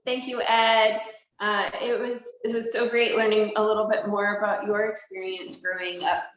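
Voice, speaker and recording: A woman's voice: medium pitch, flat delivery, moderate pace, a bit bright, reverberant, rough recording. A woman park interpreter giving a live educational talk from inside a historic barracks, explaining simply and answering viewers' questions. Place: indoors in a large historic barracks building.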